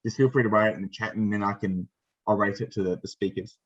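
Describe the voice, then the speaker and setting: A man moderating a webinar, reading out questions in a brisk, polite manner. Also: on the low side, steady tone, breathy edge. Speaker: session moderator. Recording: dull lo-fi call audio.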